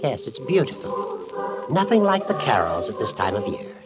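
An actor playing a genial, sentimental old judge with flowery phrasing in a 1950s radio comedy. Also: male voice, medium pitch, big pitch swings, normal speed, over loud music, lightly acted. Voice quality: cackling. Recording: muffled old radio recording, loud audience.

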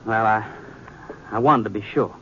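A man's voice: lowish voice, sharp tone. A man playing an earnest, polite young frontier sheriff with an American accent and a reserved manner, in a radio Western. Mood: earnest, wistful. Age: young adult. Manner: courteous, reserved, deliberate. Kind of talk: 1950s radio Western acting. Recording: muffled noisy 1950s radio recording.

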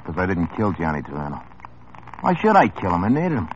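An actor playing a small-time hoodlum in an old radio drama, tough, wry and world-weary. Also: male voice, low-pitched, huge pitch swings, fairly quick, slight background noise. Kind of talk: 1950s radio drama acting. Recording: muffled old radio broadcast.

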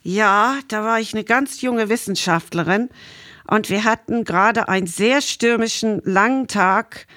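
Interview guest: middle-aged woman from Ireland, medium pitch, recalling things conversationally, very dry sound. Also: unhurried, a bit bright, no echo. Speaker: interview guest, marine scientist. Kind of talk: conversational storytelling, reminiscing.

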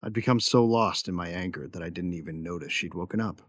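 A man's voice: low-pitched, fast talker, a bit bright, no room sound, studio quality. A man playing a tough, brooding yet tender bounty hunter, telling his story in the first person in an audio drama. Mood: brooding, intimate.

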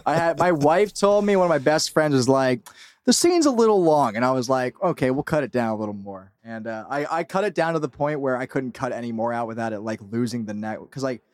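A man talks casually as an interviewed podcast creator, at a medium pitch. His delivery is informal and rambling, and the sound is dry. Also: expressive, fairly quick, darkish tone, no room sound.

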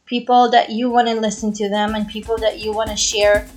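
A young woman with a slightly high voice, originally from Brazil and raised in Israel, hosts a solo podcast. She talks in an earnest, chatty way and often repeats a phrase to press a point. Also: flat delivery, fairly quick, music in the background. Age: 20s. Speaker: podcast host.

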